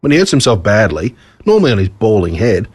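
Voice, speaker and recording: A man with an Australian accent reading a scripted storybook in an expressive storytelling manner. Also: on the low side, fast talker, husky, no echo. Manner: expressive, storytelling in a child narrator's words. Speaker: author reading his own story.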